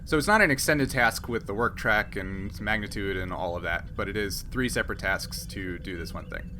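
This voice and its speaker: A man acting as game master, narrating a tabletop roleplaying game and explaining rules in a halting, think-aloud way. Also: fairly low pitch, noisy background.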